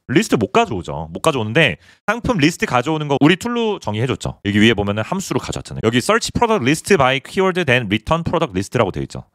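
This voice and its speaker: A man teaches programming in a quick, explanatory way, often asking questions and then answering them himself. His pitch moves around a lot. The close microphone gives a dry sound with no room echo. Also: a bit bright. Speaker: coding tutorial host. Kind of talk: screen-recorded coding walkthrough.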